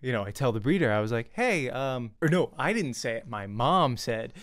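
A young man chatting casually as a vlogger, telling a personal story in an animated way and acting out reactions, close on a desk mic at home. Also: medium pitch, normal speed. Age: young adult. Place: small home room. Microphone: desk studio mic with pop filter, close.

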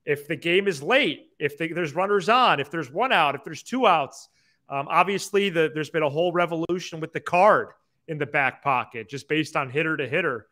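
A man's voice: a little high, moderate pace, bright, forceful tone. A young man hosting a sports podcast, explaining baseball casually, point by point, into a close desk microphone. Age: young adult. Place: small indoor room, video call.

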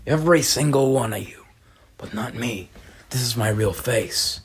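An intense masked crime boss voiced by a man speaks in a husky, emphatic voice. He is a self-righteous, accusing villain from a homemade cartoon. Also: medium pitch, unhurried, voice pushed up.

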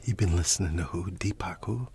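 A man performing an ASMR roleplay as a laid-back, teasing flatmate, speaking in a hushed, casual, slangy way with repeated phrases. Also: low-pitched, brisk, gravelly, a little hiss or hum. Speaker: ASMR performer playing a roommate. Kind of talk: ASMR roleplay, whispered storytelling and one-sided chat.

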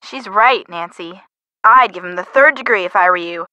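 A woman's voice: mid-range voice, normal speed, bright, forceful tone, no echo, dull audio, close to an everyday voice. A woman playing a detective's young female friend in a video game, blunt and probing. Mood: inquisitive, blunt.

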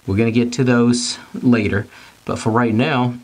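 A man's voice: medium pitch, steady tone, moderate pace, slightly rough, soft background music. A middle-aged man giving an informal technical lesson, plain-spoken, repeating and rephrasing his points step by step. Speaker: technical instructor. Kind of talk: whiteboard lesson, informal explanation.

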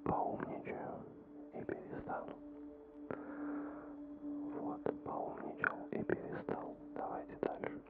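A man in his forties whispering breathy, soothing, unhurried ASMR talk close to the microphone. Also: narrow, tinny audio. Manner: calming, unhurried, playful rambling. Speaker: ASMR host. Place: small dim room indoors. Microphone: close studio microphone with foam cover. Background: rain and thunder sound effects.

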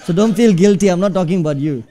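Pastor, highish voice, chatty preaching style in church. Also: male voice, fast talker, warm tone. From Singapore. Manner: conversational preaching, asks the audience questions. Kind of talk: sermon. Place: church stage with pulpit.

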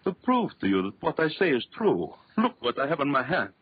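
An actor playing a megalomaniac villain doctor in a 1930s radio horror drama, theatrical, commanding and boastful. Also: male voice, a little high, very animated, fairly quick, breathy edge. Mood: triumphant. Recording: muffled old 1930s radio recording.

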